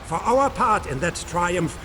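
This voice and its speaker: A man's voice: high-pitched, expressive, measured pace. An actor playing an arrogant, fanatical cult henchman in a video game, with a haughty, zealous, theatrical delivery. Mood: zealous boasting. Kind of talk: video game cutscene acting. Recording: noisy ambience behind the voice.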